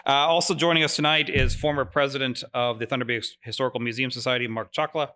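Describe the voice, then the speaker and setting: A man's voice: mid-range voice, fairly even intonation, quick pace, bright, forceful tone, clear, resonant tone. A man who directs a museum society, opening a public lecture with housekeeping notes in a friendly, informal way with filler words, in a lecture room. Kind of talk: public event introduction. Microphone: mic over room speakers.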